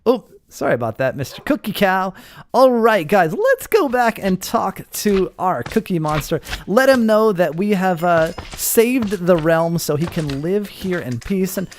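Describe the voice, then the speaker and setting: Man with a very lively, swooping delivery. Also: high voice, unhurried, slight background noise. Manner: excitable, playful, swooping. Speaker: gaming video host. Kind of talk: casual Minecraft roleplay commentary.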